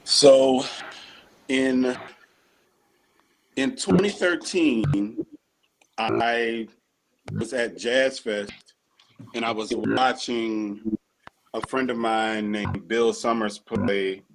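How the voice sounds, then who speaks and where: A man who is a music producer and bassist, telling stories in a laid-back interview. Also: drawn-out words, gravelly, long pauses, slight background noise, low-quality recording. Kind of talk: relaxed interview talk.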